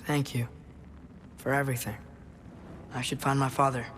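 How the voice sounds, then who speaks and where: A young man playing a soft-hearted, grateful teenage warrior in a video game, speaking sincerely and haltingly. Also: medium pitch, flat delivery, slight background noise, natural voice. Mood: earnest. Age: young adult. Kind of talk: video game cutscene acting.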